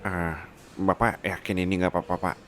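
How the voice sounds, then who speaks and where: A man acts out a deferential male assistant in an ASMR roleplay. His voice sits very low, and he speaks politely to his rich boss. He sounds obedient and slightly hesitant. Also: little pitch movement, normal speed, fairly bright tone, slight background noise.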